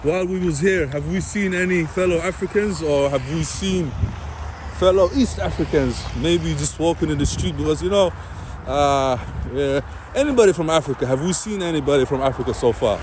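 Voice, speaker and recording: A man's voice: a little high, moderate pace. A man chatting casually as a travel vlogger, addressing viewers and interviewing people in a friendly way. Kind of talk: casual street vlog chat. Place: outdoors on a winter city street, walking. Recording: noisy outdoor street with traffic.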